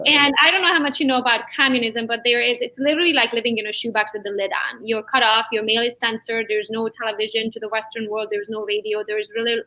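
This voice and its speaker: A woman around forty with a bright, fairly high voice, Hungarian by first language and raised in Transylvania. She tells stories in a lively way and laughs often as an interview guest. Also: fast talker, hardly any pauses, rough recording. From Transylvania, Romania. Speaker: real estate agent guest.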